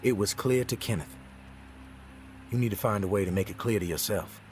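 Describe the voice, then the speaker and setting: An actor playing a calm male survivor in a video game, speaking in a measured, firm and reassuring way. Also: lowish voice, soft background music, lightly acted. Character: calm, steady male survivor counseling a troubled friend. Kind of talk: dramatic video game acting.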